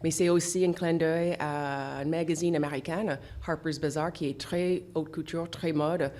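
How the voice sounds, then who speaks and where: A middle-aged woman with an English accent speaks French as her second language. Her voice sits very low, and her phrasing is halting, full of "euh" pauses and restarts. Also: steady tone, warm tone. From English-speaking country. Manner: halting, many fillers and restarts. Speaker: association founder, guest. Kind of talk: radio interview. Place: radio studio.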